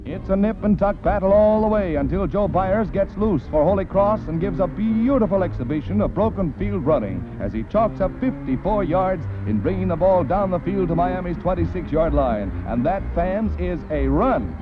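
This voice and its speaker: A 1940s American newsreel man delivers scripted commentary in a highish, very animated voice. He is jaunty and punchy, with a wisecracking showman's touch. The old soundtrack is dull, with music playing clearly behind him. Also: moderate pace, few pauses. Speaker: newsreel narrator. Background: background music.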